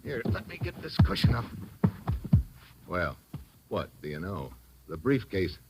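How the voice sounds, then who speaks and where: A tough American adventurer with a lowish voice. He is curt, sardonic and hard-boiled, delivered in the manner of 1940s radio drama. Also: sing-song, a little slow, heavy background noise, exaggerated voice. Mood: curt, commanding. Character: tough, wisecracking American male adventurer and investigator.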